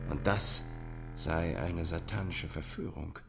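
An actor in a radio drama playing an agitated painter with a dark secret, phrasing things weightily and deliberately. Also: male voice, deep voice, creaky, loud music behind the voice, full character voice. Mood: grave, guarded.

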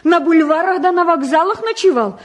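Brisk, teasing young woman, very high voice, outspoken, vintage radio drama. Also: expressive. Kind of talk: mid-century Soviet radio drama acting.

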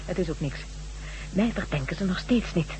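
An actress playing a cool-headed, commanding woman doctor in a Dutch radio drama, speaking with brisk, assured authority. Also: fairly low pitch, expressive, darkish tone, close to an everyday voice. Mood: cool, determined. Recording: noisy old radio drama recording.

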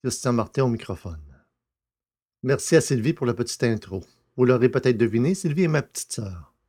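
Man hosting a podcast, medium-pitched voice. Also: expressive, a little fast, darkish tone. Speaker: podcast host and presenter. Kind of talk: scripted podcast announcements.

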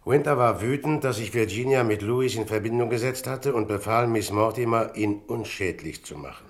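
A man playing a composed, shrewd investigator who speaks in a measured, matter-of-fact way in a German radio play. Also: medium pitch, lightly acted. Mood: calm, matter-of-fact. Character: composed, shrewd male investigator, friend of the inspector.

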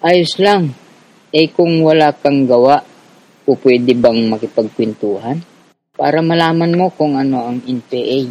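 A man plays a friendly Filipino rebel comrade in a radio drama. His voice sits at a medium pitch, and he explains things calmly and patiently. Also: unhurried, natural voice, low-quality recording.

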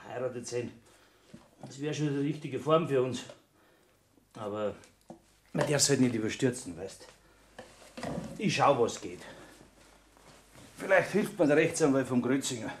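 An actor playing an evasive, scheming married lover with a Bavarian accent, cautious and matter-of-fact, in 1960s radio drama. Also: male voice, mid-range voice, lively intonation, a little slow, pauses often, natural voice. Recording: noisy 1960s radio play recording.

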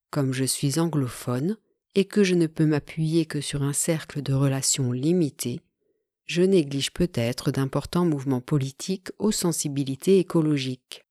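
A woman narrating a nonfiction audiobook in a measured, even reading style. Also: sits low, no room sound, studio quality.

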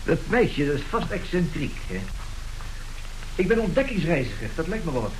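Een acteur die in een hoorspel een ijdele, sluwe huwelijkszwendelaar van middelbare leeftijd speelt, theatraal en hoogdravend. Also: male voice, a little high, big pitch swings, normal speed. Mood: scheming, eager. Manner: theatrical, pompous, declaiming. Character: scheming middle-aged marriage swindler, vain, grandiose, smooth-talking. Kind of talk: Dutch radio play acting. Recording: hissy old radio play recording.

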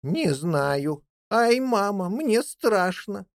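A woman's voice: medium pitch, very animated, very slow, dry recording, clean studio sound, voice pushed up, heavily acted. A woman voicing a naive, timid little jackdaw chick in a children's fairy tale, with a childlike, plaintive manner. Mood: frightened.

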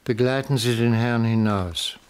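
Guy playing snooty formal syndicate boss in radio drama, medium pitch, slight noise. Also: fairly even intonation, a little slow, natural voice. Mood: coolly commanding. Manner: formal, imperious. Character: aloof, prim male crime-syndicate chief. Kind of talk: German radio crime drama acting.

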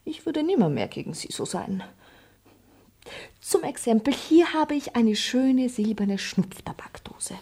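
Sly, high-voiced young maidservant. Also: female voice, sing-song, unhurried, mellow, slightly rough, heavily acted. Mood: coaxing, mock-offended. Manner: teasing, theatrical, mock-formal. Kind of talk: classic German stage comedy as radio play.

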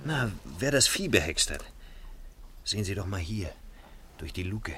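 Deep-voiced, terse village garage man. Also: big pitch swings, moderate pace, pushed and bright, exaggerated voice. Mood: wary, explaining. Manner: terse, matter-of-fact. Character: dry, matter-of-fact village garage man, skeptical. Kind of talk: German radio play acting. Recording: noisy vintage radio drama recording with clicks.

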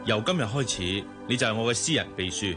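An actor playing a businesslike, authoritative general manager in a radio drama, formal and instructive, with a Hong Kong Cantonese accent. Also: male voice, lowish voice, lively intonation, fast, over music, close to an everyday voice.

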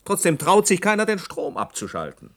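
An actor in a 1960s German radio drama playing a sceptical newcomer to an island, with a dry manner. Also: male voice, high-pitched, huge pitch swings, fairly quick, fairly bright tone, heavily acted. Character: sceptical male visitor newly arrived on the island.

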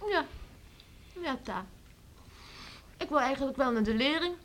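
A woman's voice: sits high, lively intonation, a little slow, a bit bright. A woman playing a sincere, devout teenage farm daughter in a Dutch radio play, earnest and a little hesitant. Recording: faintly noisy old radio recording.

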